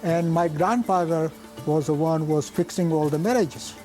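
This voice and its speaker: Older Gujarati-accented man reminiscing, mellow voice. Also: a little high, faint music behind. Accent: Gujarati Indian. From India, Gujarat. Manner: halting, plain-spoken, pauses and restarts. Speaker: physician recalling his life. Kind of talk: oral history reminiscence.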